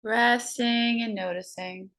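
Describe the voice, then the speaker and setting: A woman guiding a breathing meditation, counting slowly in a soft, soothing way. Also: fairly high pitch, steady tone. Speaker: meditation guide.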